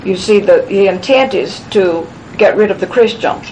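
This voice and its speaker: Emphatic woman lecturing over rumble. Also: normal speed, a little mellow, rough recording. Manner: emphatic, repeats phrases, frequent restarts. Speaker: speaker answering questions at a gathering. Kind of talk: rambling conspiratorial lecture. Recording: low rumble noise in background.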